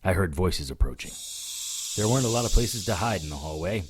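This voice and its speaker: A man's voice: deep voice, lively intonation, a little fast, noisy background. A man playing a wry, hard-boiled hero, narrating a scripted audio drama in the first person with dry sarcasm. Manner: dry, sardonic. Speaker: narrator and lead character.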